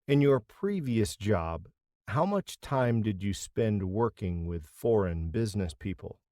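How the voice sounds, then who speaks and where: A man playing an interviewer in a scripted practice interview for English learners, reading questions clearly. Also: fairly low pitch, animated, a little slow, mellow, no room sound, studio quality.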